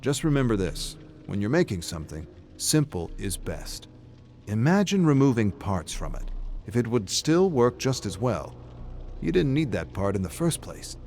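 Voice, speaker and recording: A man's voice: medium pitch, big pitch swings, normal speed, a little mellow, a little hiss or hum. A man voicing a patient, plain-spoken father who is teaching his young son. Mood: patient, instructive.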